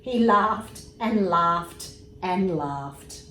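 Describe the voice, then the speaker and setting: A woman reads a bedtime story aloud to young children in an expressive, unhurried way, pausing for little asides. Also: lowish voice. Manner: unhurried, expressive, pauses for asides about pictures. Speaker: storybook reader, emergency services commissioner. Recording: roomy echo with faint background noise.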